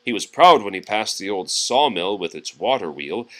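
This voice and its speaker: A man reading a children's storybook aloud expressively, pausing to chat and answer questions from young listeners. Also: fairly low pitch, very animated, low-quality recording.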